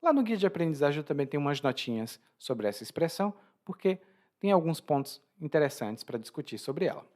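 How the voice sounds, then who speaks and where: Lively-voiced male teacher. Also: on the high side, fairly quick. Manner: explanatory, frequent restarts and laughs. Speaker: Portuguese teacher. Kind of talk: language lesson explaining expressions.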